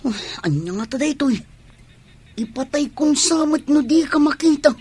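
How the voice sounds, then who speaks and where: A woman's voice: sits high, lively intonation, normal speed, darkish tone. A woman playing a devoted, dramatic tomboy lover in an Ilocano radio drama. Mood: yearning.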